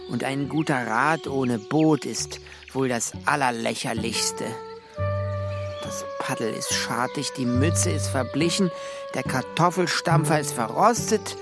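Fretful gloomy dreamer, unhurried brooding speech, plaintive, repetitive, children's audio drama, music behind. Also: male voice, fairly high pitch. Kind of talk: children's radio play acting.

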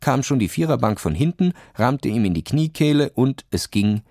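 A man's voice: medium pitch, expressive, normal speed, warm tone, no room sound, studio quality. A man reading a scripted story for children as a narrator. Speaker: audiobook narrator.

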